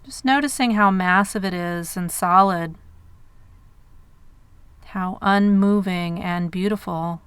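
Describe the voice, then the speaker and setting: A woman guiding a meditation in measured phrases with pauses between them. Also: lowish voice, a bit bright, clean tone, no room sound. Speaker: meditation guide. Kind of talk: guided meditation narration.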